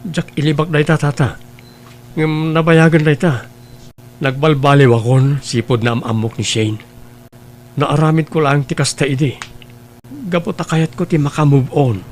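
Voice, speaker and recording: A man's voice: fairly high pitch, fairly even intonation, moderate pace, pauses often, darkish tone, a little hiss or hum, natural voice. A man playing an earnest, pleading young suitor in a radio drama, a reformed womanizer insisting he is sincere.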